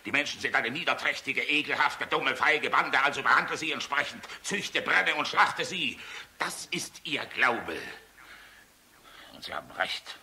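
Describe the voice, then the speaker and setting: An actor playing a weary, tormented general in a radio play, moving between clipped military orders and anguished, bitter rhetoric. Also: male voice, mid-range voice, expressive, a little slow, sharp tone, heavily acted. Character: male air force general, weary, tormented, bitterly defiant. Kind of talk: dramatic radio play acting.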